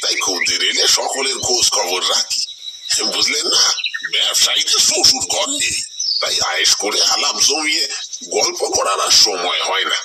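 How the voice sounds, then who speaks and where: A man's voice: medium pitch, huge pitch swings, normal speed. A man playing a talkative older village shopkeeper in a cartoon, chatty, folksy and deferential. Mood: jovial. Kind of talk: dramatised Bengali horror cartoon voice acting. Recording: distant voice, loud outdoor ambience noise.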